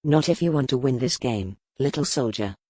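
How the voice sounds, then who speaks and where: Woman voicing a low, commanding, gentle duke. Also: lively intonation, normal speed, dry recording. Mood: grave, gentle. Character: gentle, battle-hardened male duke, commanding lover. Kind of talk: dramatic audiobook character reading.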